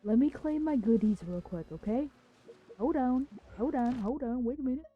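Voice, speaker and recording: A woman livestreaming a game with casual, playful, expressive commentary. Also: a little high, moderate pace, breathy edge, dull audio, faint music behind.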